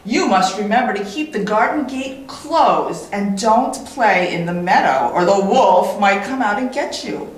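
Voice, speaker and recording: A woman's voice: mid-range voice, expressive, measured pace, hardly any pauses, lightly acted. A woman voicing a stern, protective old grandfather who scolds and cautions, in a children's storybook reading. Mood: warning. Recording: very echoey room.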